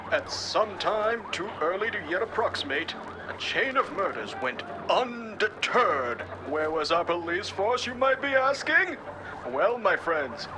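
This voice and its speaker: A man's voice: sits high, noisy background. A man playing a sensationalist street news reporter in a cyberpunk audio drama, theatrical, punchy and sardonic. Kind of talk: dramatic live news broadcast acting.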